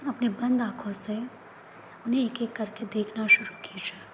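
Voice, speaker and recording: A woman leading a guided yoga nidra relaxation, speaking in soft, often whispered instructions. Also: on the high side, steady tone, normal speed, very noisy. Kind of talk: guided meditation.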